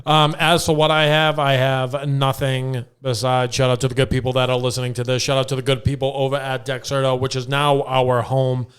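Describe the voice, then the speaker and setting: A man's voice: mid-range voice, little pitch movement, a little fast, few pauses, fairly bright tone, clean tone. A man hosting a podcast in a casual, conversational style. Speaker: podcast host.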